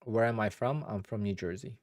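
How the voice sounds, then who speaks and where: Man in his thirties from Poland, low voice, casual rambling livestream chat, dry close recording. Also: a little fast, no room sound. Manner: relaxed, rambling, thinks aloud with restarts. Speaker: day trader streaming live.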